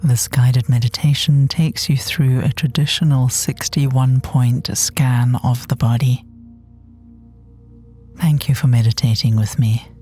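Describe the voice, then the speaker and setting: A woman with a soft, gentle, breathy voice that often nears a whisper, guiding a body scan meditation in a soothing way with long pauses. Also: very deep voice. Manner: soothing, unhurried, long pauses between phrases. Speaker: guided meditation teacher. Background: soft meditation music.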